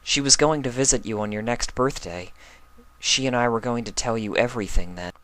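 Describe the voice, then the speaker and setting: A man plays a young female unicorn, a protective big-sister type who frets and pleads. The delivery is gentle and emotional, raised in pitch from the reader's own, as in a storybook fan fiction, with little room sound. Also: fairly quick, no room sound, natural voice. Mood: apologetic. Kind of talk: acted fan fiction reading.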